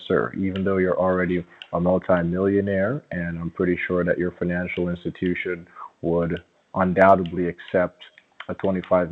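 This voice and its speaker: Low-voiced man on a muffled phone line. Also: a little hiss or hum. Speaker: phone caller pitching a sweepstakes prize. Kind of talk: conversational phone sales talk. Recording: muffled, narrow-band phone call.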